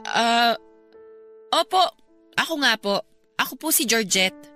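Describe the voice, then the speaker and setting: A woman's voice: a little high, lively intonation, unhurried, sharp tone, soft background music. An actress with a Filipino accent playing a polite but persistent young woman in a radio drama, deferential yet pointed. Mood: polite, hesitant.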